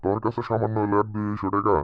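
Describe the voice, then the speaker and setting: Man playing a deep-voiced, fast-scolding cartoon uncle. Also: flat delivery, dry recording, dull audio, natural voice. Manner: scolding, rhyming back-and-forth delivery. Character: stingy, scolding uncle worn down by household money troubles. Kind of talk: rhyming comic cartoon dialogue.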